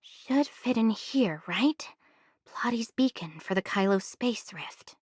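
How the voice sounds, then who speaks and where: A polite young heroine from a sci-fi anime game. Her voice is slightly high. She speaks earnestly and with curiosity, in the clean scripted manner of game dialogue. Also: expressive, slow, darkish tone, slightly rough, no room sound, clean studio sound, heavily acted. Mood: focused, earnest. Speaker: game character voice.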